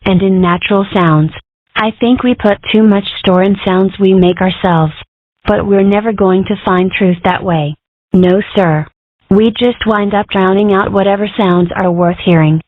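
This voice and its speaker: A woman's voice: mid-range voice, dry recording, close to an everyday voice. Woman as opinionated cartoon kid, earnest, talkative, philosophical, close dry sound, narrow muffled band. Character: opinionated, philosophical ten-year-old cartoon girl. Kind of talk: comic strip dub. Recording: muffled band-limited audio.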